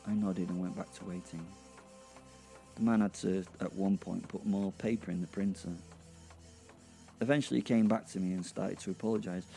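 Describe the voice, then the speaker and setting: A man's voice: deep voice, steady tone, moderate pace, vocal fry, pauses often, mellow, soft background music. A man narrating a comic horror audio drama in the first person, with a wry, conversational manner.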